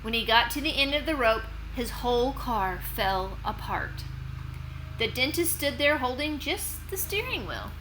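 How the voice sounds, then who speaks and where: A woman narrating for children as an expressive read-aloud storyteller and host. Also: on the high side, measured pace, a little hiss or hum, poor audio. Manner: expressive, clearly addressed to children.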